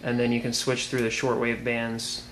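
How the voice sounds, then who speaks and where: An American man giving an informal product demonstration as a video reviewer, plain-spoken and unhurried. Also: mid-range voice, monotone, fairly bright tone, faint music behind. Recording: clearly noisy amateur video sound.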